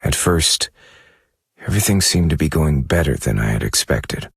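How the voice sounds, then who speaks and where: An actor playing a brooding, troubled amnesiac novelist in a game, narrating a dramatic monologue with a gravelly, husky voice and a grave, measured manner. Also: male voice, a bass voice, animated, no echo, studio quality, full character voice. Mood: measured, intent.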